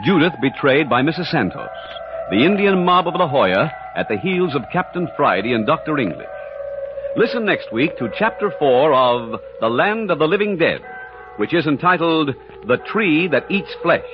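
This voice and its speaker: A man's voice: a little high, big pitch swings, normal speed. Male serial announcer, theatrical old radio style, swooping intonation, music underneath, dull recording. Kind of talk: dramatic old-time radio narration.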